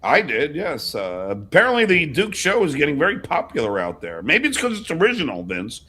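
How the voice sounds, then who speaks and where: A man's voice: a little high, wildly swooping pitch, fairly quick, sharp tone, husky. A man hosting a livestream in casual chat, blunt and often swearing. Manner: profane, blunt. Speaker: host of a livestream show.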